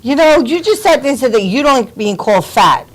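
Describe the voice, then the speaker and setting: A woman's voice: high voice, lively intonation, brisk. A woman co-hosting a live web show, answering her partner with dry, scolding retorts. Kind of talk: casual banter on a live stream.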